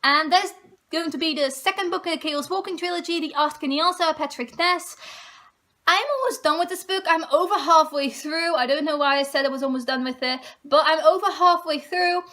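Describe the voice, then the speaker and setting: Girl talking books, super high voice, chatty and eager, fixes herself mid-sentence. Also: a little fast, bright, forceful tone. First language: Dutch. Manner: chatty, self-correcting, eager. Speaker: book vlogger. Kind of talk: casual book-list chat to camera.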